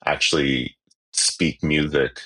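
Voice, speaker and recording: A Canadian musician, a native Cantonese speaker, talking casually in an interview with many fillers and restarts. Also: male voice, rumbling low, steady tone, slow pace, pushed and bright.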